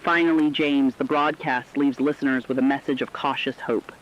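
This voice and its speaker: A man's voice: mid-range voice, steady tone, normal speed, fairly bright tone. Man reading news, dull lo-fi sound. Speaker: radio news co-anchor. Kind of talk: scripted news narration.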